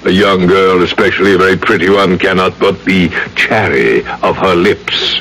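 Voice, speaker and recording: Pompous, low-voiced villainous judge. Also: male voice, fairly even intonation, normal speed, a bit bright. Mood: oily, genial. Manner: pompous, unctuous. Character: smooth-talking, menacing older judge, falsely genial villain. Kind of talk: old-time radio drama acting. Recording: noisy old radio drama recording.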